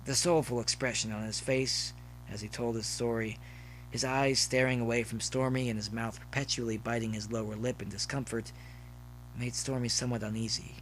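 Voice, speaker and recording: A man's voice: mid-range voice, level tone, moderate pace, slight background noise, low-quality recording. A man reading scripted story narration as an audiobook narrator.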